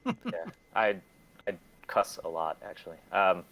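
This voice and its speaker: A man's voice: low voice, fairly even intonation, measured pace, fairly bright tone, low-quality recording. A man talking casually as an interview guest, rambling in a self-deprecating way and swearing freely. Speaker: interview guest, record label and shop owner.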